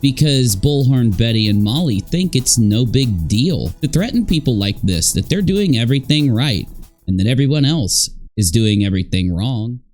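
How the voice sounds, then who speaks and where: A man's voice: medium pitch, animated, normal speed, a little mellow, faint music behind. Sarcastic man doing reaction commentary. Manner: sarcastic, mocking. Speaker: YouTube commentator. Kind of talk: reaction commentary over clips.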